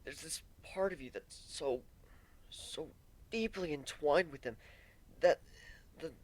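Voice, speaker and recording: A man voicing a timid, self-loathing, grieving pegasus pony for a fan fiction reading, with halting, stammering delivery. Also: on the high side, voice pushed up.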